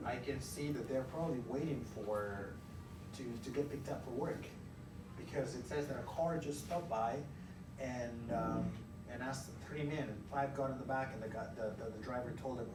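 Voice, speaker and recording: A man teaching a class through an interactive read-aloud, pausing to question and explain as he goes. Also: mid-range voice. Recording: echoey room, far from mic, loud noise.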